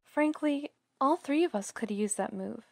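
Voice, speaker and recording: A woman's voice: sits high, measured pace, gravelly, darkish tone, no echo, clean studio sound. A woman voicing a frank, plain-spoken young Pokémon who sticks by friends, in a fan audio drama.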